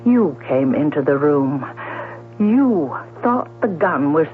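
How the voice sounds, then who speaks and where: An actress playing a troubled, persistent sister in a 1970s radio drama, deliberate and dramatic. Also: female voice, low-pitched, very animated, slight background noise, exaggerated voice. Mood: grave, accusing. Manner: deliberate, dramatic, repeats questions. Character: troubled, persistent woman confronting her sister about murder. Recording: tinny, humming 1970s radio recording.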